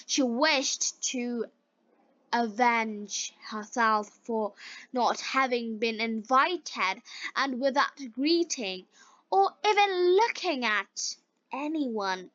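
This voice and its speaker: A woman storyteller with a bright voice reads scripted children's tales for learners. She goes extremely slowly, setting each short phrase apart. The recording is dry and somewhat dull. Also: on the high side, no echo. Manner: deliberate phrasing in short separated chunks. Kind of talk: scripted fairy-tale narration for beginners.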